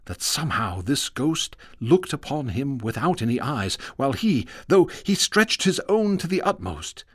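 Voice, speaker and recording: A man narrating a horror radio drama in measured, storytelling tones that drop to a hush at eerie moments. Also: medium pitch, big pitch swings, slightly rough, dry recording, clean studio sound.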